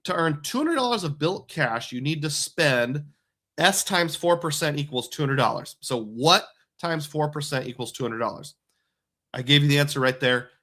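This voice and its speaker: A man's voice: a little high, lively intonation, normal speed, pushed and bright. A man hosting a live stream who works through credit card points math step by step, explaining each calculation aloud. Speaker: host.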